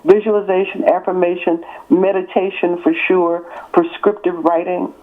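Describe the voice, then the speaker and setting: A woman's voice: on the low side, level tone, moderate pace. A woman in her sixties from East Texas, an author speaking as an interview guest, emphatic and building her points in repeated parallel phrases. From born in California, raised in East Texas. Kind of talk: interview on a call. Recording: tinny phone line.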